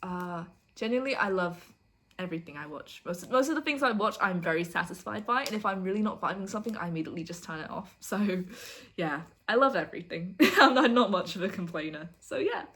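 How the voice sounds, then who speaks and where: A woman's voice: on the low side, lively intonation, fairly quick, fairly bright tone, slight background noise. Young woman, from the UK, chatty, giggly, self-deprecating, casual vlog chat, close mic. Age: young adult. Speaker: vlogger. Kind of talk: casual get-to-know-me chat.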